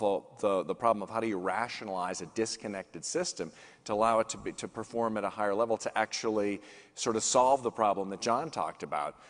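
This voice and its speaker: Male executive, panel guest, earnest, restarts a lot, lowish voice, big reverberant hall. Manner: earnest, restarts phrases often. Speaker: CEO guest speaker. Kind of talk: conference panel interview. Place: conference stage in a large hall.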